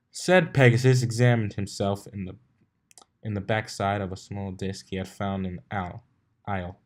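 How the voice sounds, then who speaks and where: A man's voice: on the low side, a little mellow. A man reading a fan fiction story aloud as an informal narrator.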